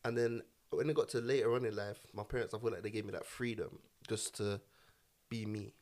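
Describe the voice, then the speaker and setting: A man's voice: fairly low pitch, steady tone, a little fast, a little mellow. A man in a casual group chat, using slang and often checking that listeners follow him.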